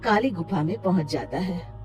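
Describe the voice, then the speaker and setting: A woman narrating a scripted cartoon tale as an expressive storyteller. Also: lowish voice, moderate pace, faint music behind.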